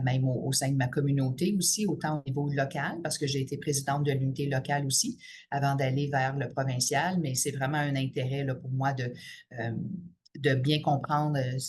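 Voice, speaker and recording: A woman in her fifties with a Franco-Ontarian accent, a teachers' union president giving a podcast interview. She speaks in a measured way, filling her pauses with "euh" and "là". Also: very deep voice, darkish tone, husky. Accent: Franco-Ontarian French. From Ottawa region, Ontario, Canada. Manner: measured, frequent "euh" and "là" fillers.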